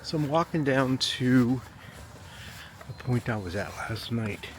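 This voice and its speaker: A man's voice: medium pitch, expressive, slow. A man of sixty casually narrating his travel vlog outdoors, rambling and a little self-deprecating. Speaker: travel vlogger. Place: outdoors by a waterfront. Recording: loud outdoor ambient and vehicle-like noise.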